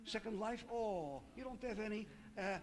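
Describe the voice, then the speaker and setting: A middle-aged man gives a conference talk with slides. He talks haltingly, with many fillers and restarts, and asks the audience questions. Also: high voice, background noise. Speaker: conference speaker. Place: conference hall.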